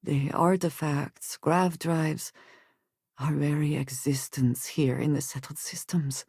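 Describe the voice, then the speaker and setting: A woman playing a guarded, earnest space explorer in a video game, speaking in measured, formal and precise phrases. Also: low voice, steady tone, dark tone, breathy edge, no room sound, studio quality, exaggerated voice. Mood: earnest, thoughtful. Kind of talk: video game character dialogue lines.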